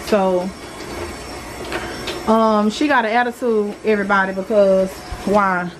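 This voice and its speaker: A woman's voice: mid-range voice, steady tone, measured pace, a little hiss or hum, rough recording. A young woman with a Southern US accent, a single mother chatting loosely through a home cleaning vlog and scolding her kids. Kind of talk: casual home vlog chat. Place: apartment living room and kitchen, indoors. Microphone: handheld phone camera.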